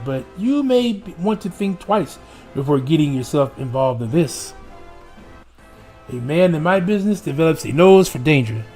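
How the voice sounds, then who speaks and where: A man reading aloud as a gruff, streetwise male rogue in a put-on drawl, from a video game. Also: on the high side, a little mellow, exaggerated voice. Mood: warning.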